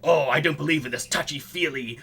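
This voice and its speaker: Bright-voiced man chatting on a podcast. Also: on the high side, a little fast, slight background noise. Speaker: podcast host. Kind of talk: casual film review chat.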